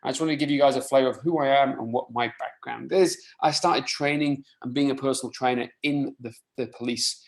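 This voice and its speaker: Coach, roomy echoing sound. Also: male voice, mid-range voice, steady tone, fairly quick, few pauses. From rural mid Wales. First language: Welsh. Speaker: fitness coach presenting a webinar. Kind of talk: informal live online presentation. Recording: roomy, echoing live webinar audio.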